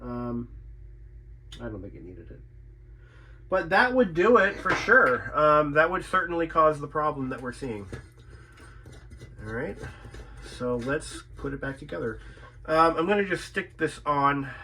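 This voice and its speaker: A man's voice: fairly high pitch, big pitch swings, unhurried, long pauses, sharp tone, slight background noise. A man chatting casually and thinking aloud as he works through a repair, often asking himself questions. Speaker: radio repairer presenting own video. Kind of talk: casual chat while doing a repair.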